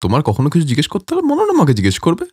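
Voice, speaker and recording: A man plays a protective married man in a cartoon family story. He speaks quickly and earnestly, with lively pitch movement. The recording is dry and conversational in tone. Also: breathy edge, no echo, exaggerated voice. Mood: easygoing.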